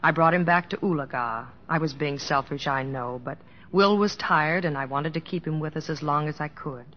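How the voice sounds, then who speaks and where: A woman's voice: sits low, moderate pace, natural voice. Tender American wife played by a woman, old radio. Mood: wistful. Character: devoted, gently concerned wife telling her husband's story. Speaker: actress narrating and acting in a radio drama. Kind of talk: old-time radio drama acting. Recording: muffled old radio recording with music.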